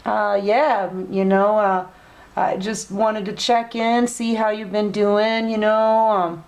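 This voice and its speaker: A woman's voice: medium pitch, little pitch movement, slow, a little mellow. Woman voicing a hesitant, polite man. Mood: casual. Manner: tentative, polite, hedging.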